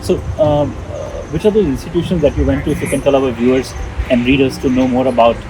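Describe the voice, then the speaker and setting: A man's voice: mid-range voice, steady tone, fast talker, background noise. A man with an Indian accent hosting an interview, speaking formally with effusive, grandiose phrasing. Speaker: interviewer and show host. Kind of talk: on-camera interview with formal scripted introduction.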